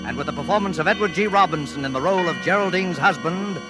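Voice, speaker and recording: A man's voice: high-pitched, quick pace. A man hosting a mystery series, delivering formal, dramatic, ominous scripted narration in 1940s radio style. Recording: vintage 1940s radio broadcast. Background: loud dramatic music.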